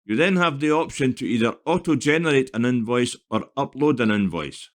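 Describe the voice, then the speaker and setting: A man narrating a scripted software tutorial in an explanatory, step-by-step manner. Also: medium pitch, animated, a little fast.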